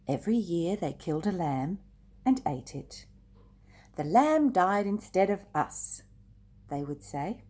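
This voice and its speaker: Husky older woman reading to children. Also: lowish voice, dark tone. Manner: slow, deliberate, addressing young listeners. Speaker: storyteller. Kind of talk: expressive children's picture-book read-aloud.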